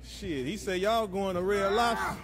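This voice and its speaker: High-voiced man hyping lifters in a gym. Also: normal speed. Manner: chanting catchphrases and counting reps. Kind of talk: hype commentary on a workout. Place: large indoor gym. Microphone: handheld phone. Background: loud music.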